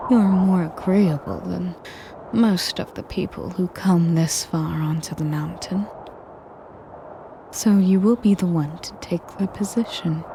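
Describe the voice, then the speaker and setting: A woman playing a sadistic, possessive female dragon in an intimate audio roleplay, speaking in a measured, formal, breathy and commanding way. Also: fairly low pitch, dark tone, a little hiss or hum. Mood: haughty, possessive. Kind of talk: slow, intimate audio roleplay addressed to the listener.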